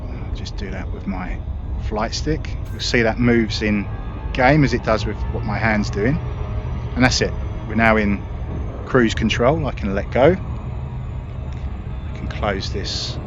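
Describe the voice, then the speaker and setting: A man explaining a space game step by step as he plays, in a relaxed walkthrough with small restarts. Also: on the low side, background noise. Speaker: gaming video creator. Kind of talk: explaining gameplay while playing. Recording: dull lo-fi audio with game music behind. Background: game music.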